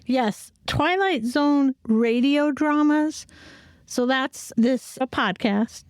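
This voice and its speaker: A woman's voice: high-pitched, slow, smooth, no echo, clean studio sound. A woman co-hosting a podcast, chatting casually. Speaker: podcast co-host. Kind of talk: casual chat.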